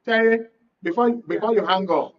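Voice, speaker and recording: A man's voice: sits high, normal speed, far from the mic, muffled recording. A man with a Nigerian accent hosting a live call-in show, often repeating words as he goes. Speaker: radio call-in show host. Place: radio and TV studio.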